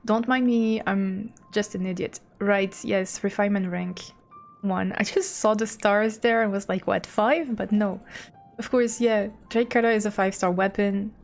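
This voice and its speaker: A woman's voice: medium pitch, steady tone, moderate pace, vocal fry, soft background music. A woman game streamer chatting casually as she thinks aloud, rambling and a little self-deprecating. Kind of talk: casual livestream chat and commentary.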